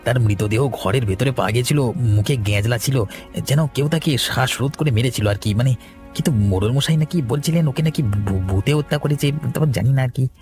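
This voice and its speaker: A man's voice: mid-range voice, fairly quick, darkish tone, faint music behind, close to an everyday voice. A man playing an eager, cowardly young disciple who stammers deferentially in a horror cartoon. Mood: eager, nervous. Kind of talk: cartoon horror drama acting.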